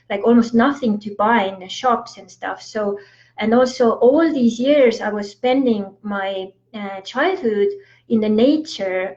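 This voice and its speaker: A woman's voice: a little high, darkish tone. A woman in her forties with an Estonian accent, talking casually on a livestream and sprinkling her speech with "you know" and "like". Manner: chatty, many fillers like "you know" and "like". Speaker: spiritual coach on a livestream. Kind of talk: casual motivational monologue. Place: home in the US. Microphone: livestream from phone or laptop. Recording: dull lo-fi livestream audio.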